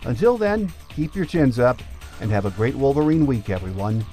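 A man's voice: medium pitch, animated, normal speed, mellow, faint music behind. A man hosting a sports podcast, presenting in a scripted radio-show style.

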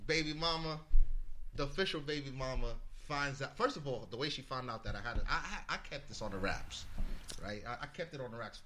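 A man from the Bronx telling a story as a podcast guest, animated, swearing freely and acting out what people said. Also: mid-range voice, fairly quick, slight background noise. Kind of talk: casual storytelling.

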